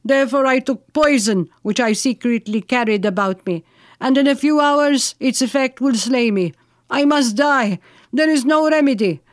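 A woman's voice: on the high side, very slow, few pauses, dry recording. A woman voicing a despairing, repentant captive Pasha who is dying, solemn and pleading in archaic storybook speech. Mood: anguished pleading.

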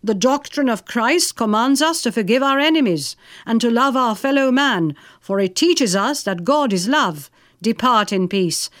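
Woman as noble knight, solemn, measured, magnanimous, archaic storybook lines. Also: on the high side, no echo, studio quality.

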